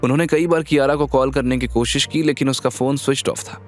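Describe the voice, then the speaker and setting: A man's voice: mid-range voice, fairly even intonation, fast talker, soft background music. A man narrating a scripted story in a dramatic, suspenseful storytelling manner.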